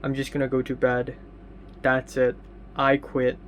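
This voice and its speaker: A young man rambling through a casual video diary with many ums and restarts, his voice slightly raspy. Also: mid-range voice, monotone, unhurried, a little hiss or hum. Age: young adult. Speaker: vlogger.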